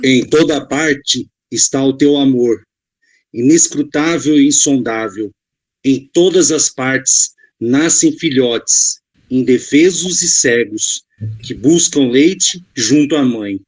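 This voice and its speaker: A man reads a prayer in a measured way. His voice sits in the middle range and he takes his time. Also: medium pitch, low-quality recording. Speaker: prayer reader. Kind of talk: reading a prayer aloud.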